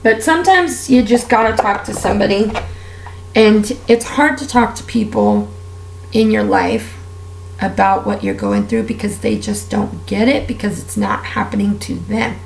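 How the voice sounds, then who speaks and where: Woman, raspy strained voice, candid rambling vlog talk, echoey room. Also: medium pitch, moderate pace, a little hiss or hum. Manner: candid, heartfelt, rambling with fillers. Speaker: beauty vlogger. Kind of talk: casual personal rant while doing makeup.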